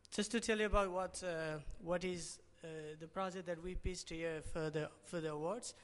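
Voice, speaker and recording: A man's voice: high-pitched, steady tone, moderate pace, fairly bright tone. Nepali-accented man at a hall podium. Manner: hesitant, many restarts and fillers. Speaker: award presenter. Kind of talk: conference pitch talk. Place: large dim conference hall, at a podium. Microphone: podium mic. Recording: reverberant hall.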